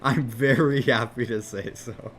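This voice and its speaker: A man casually chatting on a game development live stream, rambling and swearing easily, with a somewhat monotone voice. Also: medium pitch, measured pace, a little hiss or hum. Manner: rambling, laid-back, swears casually, frequent "like" fillers.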